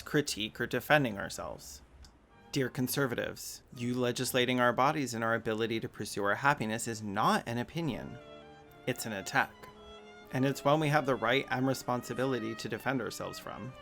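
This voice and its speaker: A man's voice: medium pitch, vocal fry, a bit bright. A man hosting a video essay, mixing sarcastic, mocking asides with plain argument.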